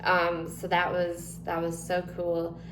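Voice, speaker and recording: Young woman in her twenties, low-pitched, rambling casual vlog chat, echoey room with a steady hum. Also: takes it slowly. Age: 20s. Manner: chatty, rambling with many ums and likes. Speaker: vlogger.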